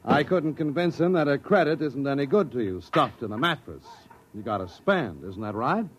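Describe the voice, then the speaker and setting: A man's voice: mid-range voice, lively intonation, normal speed, lo-fi sound, natural voice. An actor playing a spoiled, callous rich male playboy, breezy and entitled, in a 1950s radio drama. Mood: dismissive. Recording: faintly noisy old radio recording.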